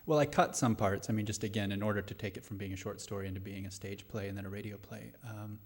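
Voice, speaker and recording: A middle-aged man speaking thoughtfully and haltingly as a playwright answering questions at a live post-show discussion. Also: lowish voice, fairly even intonation. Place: live radio play venue before a studio audience.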